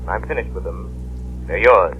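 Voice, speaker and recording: An actor playing a mysterious, coolly measured masked avenger who speaks in cryptic terms in an old radio drama. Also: male voice, deep voice, natural voice. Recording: muffled scratchy old radio broadcast with faint hiss.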